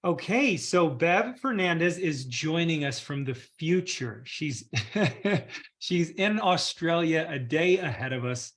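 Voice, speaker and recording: A man's voice: on the high side, animated, takes it slowly. Enthusiastic man hosting a group call. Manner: warm enthusiastic with fillers. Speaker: community call host. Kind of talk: casual upbeat group call.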